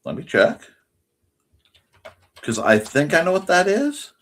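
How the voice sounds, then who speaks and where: Slow-paced man with a lively, swinging pitch. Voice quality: speech impediment. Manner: muttering to himself, thinking aloud in fragments. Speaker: livestream host and artist. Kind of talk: casual livestream chat while drawing.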